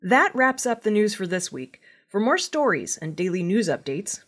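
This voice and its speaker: A woman presents scripted news in a measured, formal way. Her voice sits a little low for a woman and has a husky edge. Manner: measured, formal newsreader delivery.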